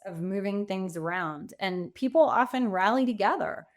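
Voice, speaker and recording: A woman talks as a coaching guest on a podcast. Her voice sits at a medium pitch. She speaks reflectively in a conversational way, leaning on key phrases for emphasis. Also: animated, normal speed.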